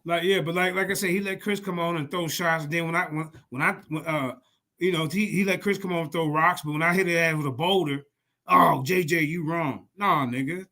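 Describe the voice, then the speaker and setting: A man hosting a heated casual chat, loud, profane and confrontational. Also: a little high, steady tone, brisk.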